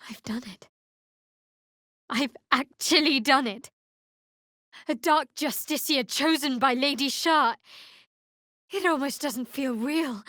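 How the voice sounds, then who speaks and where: Casting: young female dark cleric for a fantasy game. Fairly high voice, dry studio sound, guarded, measured, sardonic. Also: no room sound. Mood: awed. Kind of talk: fantasy video game voice acting.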